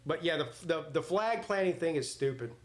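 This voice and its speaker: A man's voice: on the high side, animated, fairly quick. A man in his forties hosting a live sports watch party, mixing casual chat with play-by-play in a blunt, joking, rambling way. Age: 40s.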